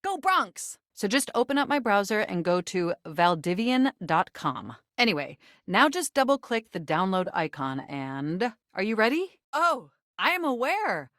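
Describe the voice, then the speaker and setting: A nerdy, flirtatious personal computer come to life for a video game. Her mid-pitched voice rises and dips in a very lively way. She talks in precise, formal, technical phrases, eager and easily flustered, in a dry studio sound. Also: measured pace, few pauses, dry recording. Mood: upbeat. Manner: formal, wordy, technical vocabulary. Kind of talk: scripted video game voice acting.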